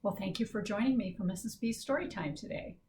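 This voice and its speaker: A woman hosting a children's story time, reading and speaking in simple, deliberate phrases for young listeners. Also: medium pitch, background noise.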